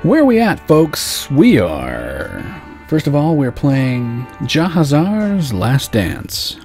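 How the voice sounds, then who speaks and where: A man's voice: a little high, wildly swooping pitch, takes it slowly, a little mellow. A man hosting a loose, rambling late-night show, swearing casually as he chats and reads a novel aloud. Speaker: podcast host. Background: faint background music.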